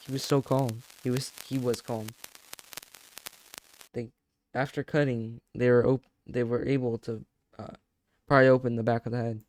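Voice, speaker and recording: A man's voice: mid-range voice, level tone, normal speed, pauses often, a little mellow, no echo, rough recording. A man reading a horror story aloud in a casual, stumbling way, breaking off to react. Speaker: video creator reacting and narrating.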